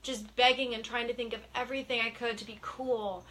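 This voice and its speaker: High bright-voiced chatty woman. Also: fairly even intonation, fairly quick, poor audio. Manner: chatty, laughing, acts out her younger self. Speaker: psychic guest. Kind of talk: casual interview chat.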